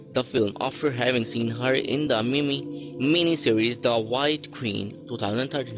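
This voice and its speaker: A man narrating a list video from a script, reading haltingly with stray articles and restarts. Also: mid-range voice. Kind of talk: read-aloud list narration.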